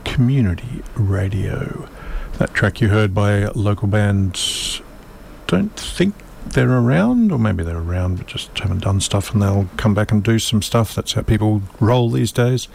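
A man's voice: fairly low pitch, unhurried, vocal fry, darkish tone, a little hiss or hum. A man hosting a community radio show, chatting casually in a rambling, wry way with plenty of fillers.

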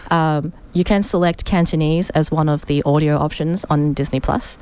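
A woman's voice: sits low, steady tone, normal speed, warm tone, smooth. A woman from Sydney, a guest on a community radio show, chatting in a thoughtful way with many fillers. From Australia, Sydney. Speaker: studio guest. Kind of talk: casual radio interview.

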